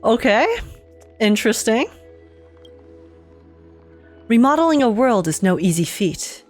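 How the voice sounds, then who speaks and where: A woman's voice: fairly high pitch, very animated, measured pace, a little mellow. A young adult woman giving casual game reaction commentary, chatty and informal, thinking aloud as she goes. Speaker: gaming streamer. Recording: music playing behind the voice.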